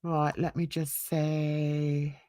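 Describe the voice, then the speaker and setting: A woman's voice: low voice, little pitch movement, extremely slow, warm tone, no echo. An older woman, ranting bluntly and indignantly while reading a livestream chat and talking to viewers. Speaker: YouTube commentator.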